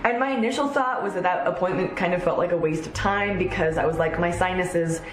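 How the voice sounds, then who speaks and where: A woman's voice: fairly low pitch, fairly quick, echoey room. A woman in her thirties, a sometimes congested vlog host, talking candidly and enthusiastically in a casual chat. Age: 30s. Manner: chatty, candid, warmly enthusiastic. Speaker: vlogger host.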